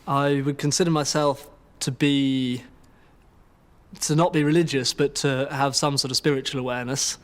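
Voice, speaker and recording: A man's voice: mid-range voice, steady tone, moderate pace, big-room sound. A young man musing casually about his life and values, searching for words. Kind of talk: casual vox pop.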